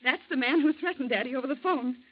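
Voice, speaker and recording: A distraught, anxious daughter in an old radio drama. Her high voice is breathless and pleading, with melodramatic urgency. The sound is muffled like a 1940s broadcast. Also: quick pace. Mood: urgent. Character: anxious, pleading young woman, a frightened daughter.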